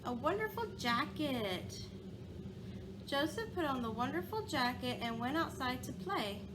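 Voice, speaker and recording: A woman teacher reading a storybook aloud in a sing-song way, pausing to ask children questions. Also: high-pitched, lively intonation, noisy background.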